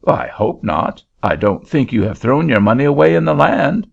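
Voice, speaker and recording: A man plays a blunt, dismissive auctioneer with a gravelly, raspy voice that swings up and down. The sound is dull and lo-fi. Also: medium pitch, very animated, normal speed, voice pushed up, full character voice. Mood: curt. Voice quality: blunt, curt. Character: gruff, dismissive male auctioneer. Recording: dull lo-fi recording.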